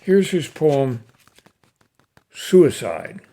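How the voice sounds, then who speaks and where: An older man with a folksy, unhurried manner, hosting and reciting cowboy poetry in short, paused phrases. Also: on the high side, sing-song, noisy background. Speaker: host reading cowboy poetry. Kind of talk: casual introduction and expressive poem recitation.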